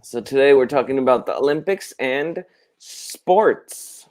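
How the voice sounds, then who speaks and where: A man from Puerto Rico, co-hosting a casual live stream and explaining things slowly. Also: mid-range voice, animated, darkish tone. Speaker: co-host.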